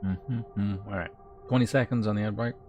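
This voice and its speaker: Guy streamer, lowish voice, just chatting live with viewers, kinda halting as he thinks out loud. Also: fairly even intonation, highs cut off, soft background music. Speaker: game streamer. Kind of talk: casual live-stream chat.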